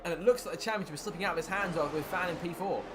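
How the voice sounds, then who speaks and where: A man commentating a sim racing league, calling the action excitedly while his voice is wearing thin. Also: high-pitched, rapid-fire. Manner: excited play-by-play, rising with the action. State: losing his voice. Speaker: lead commentator.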